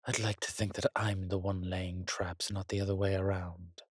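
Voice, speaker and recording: An actor playing a weary, wry, courtly vampire in a roleplay audio drama, speaking haltingly to the listener in a refined, slightly pompous manner. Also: male voice, low-pitched, flat delivery, slightly rough, dry recording, studio quality. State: weakened, recovering.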